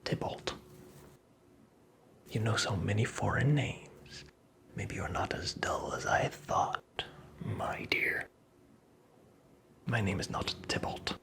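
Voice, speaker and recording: A performer voicing a creepy, vain little male imp in a whispered ASMR fairy-tale roleplay, teasing and condescending with drawn-out phrasing. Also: medium pitch, a bit bright. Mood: sly, teasing. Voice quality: breathy whisper.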